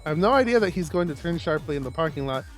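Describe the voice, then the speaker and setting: Guy streamer reading a visual novel out loud, voice a bit high, music behind, stumbles and makes casual asides. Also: racing. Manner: expressive, stumbles over words while reading. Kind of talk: live read-aloud narration with casual asides.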